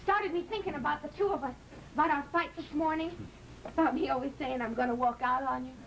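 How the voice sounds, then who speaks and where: A woman acting in an old-time radio drama, playing an anxious, excitable and affectionate young wife with an emotional, pleading manner. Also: high-pitched, fast, a little hiss or hum, rough recording. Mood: needy, affectionate. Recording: vintage radio recording with faint music.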